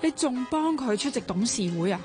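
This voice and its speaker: A woman's voice: fairly high pitch, animated, normal speed, over music, close to an everyday voice. An actress playing a slightly drunk young woman who talks emphatically and insistently, in Hong Kong Cantonese. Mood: pleading. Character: young woman, tipsy and indignant. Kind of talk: radio drama acting.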